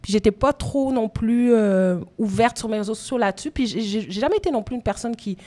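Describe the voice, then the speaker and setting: A woman's voice: on the high side, fairly quick, mellow. Québécoise woman in her thirties. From Quebec, Canada. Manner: open, reflective personal storytelling. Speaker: guest rapper. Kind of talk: candid conversational interview. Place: live podcast taping at a venue. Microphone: phone recording.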